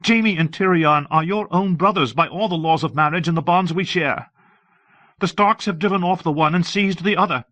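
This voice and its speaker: A man's voice: pushed and bright, no room sound. A man voicing a proud, scornful queen in an audiobook, with pointed, contemptuous delivery. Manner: contemptuous, pointed, raised pitch. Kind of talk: audiobook character acting.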